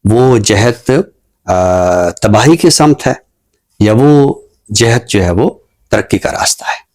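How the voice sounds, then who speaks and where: A man with a Pakistani accent speaking in a formal, scholarly, measured way on a literary talk show, pausing mid-sentence. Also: lowish voice, darkish tone. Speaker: literary talk show guest and book reviewer. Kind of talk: unscripted book commentary and poetry recitation.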